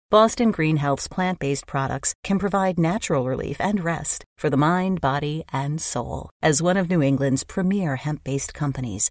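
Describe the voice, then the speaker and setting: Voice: mid-range voice, no echo, clean studio sound. Podcast host, scripted sponsor read, addressing listeners on behalf of the show.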